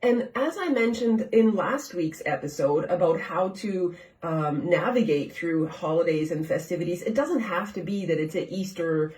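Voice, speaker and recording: A woman's voice: lowish voice, animated, normal speed, few pauses, a little mellow. A woman from Canada hosting a live nutrition show from her home kitchen, talking casually and asking viewers questions, with a stuffed-up, congested voice. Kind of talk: casual live-stream chat. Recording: echoey room, far from the mic.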